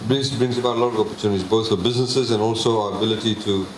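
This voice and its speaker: A man, presenting a budget to a public meeting in a measured way, with many pauses and fillers. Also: on the low side, fairly even intonation, noisy background. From Fiji. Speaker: government minister presenting a budget. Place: public consultation meeting hall. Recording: echoey hall, faint ambience.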